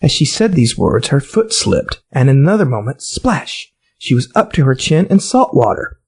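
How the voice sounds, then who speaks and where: A man's voice: mid-range voice, big pitch swings, moderate pace, slightly rough. An American man narrating a children's storybook as an audiobook reader. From United States.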